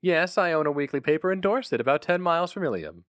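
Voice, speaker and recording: A man's voice: fairly high pitch, lively intonation, quick pace, fairly bright tone, dry recording, studio quality, voice pushed up. A man voicing an urbane young male job applicant who is polite, eager and affectedly casual.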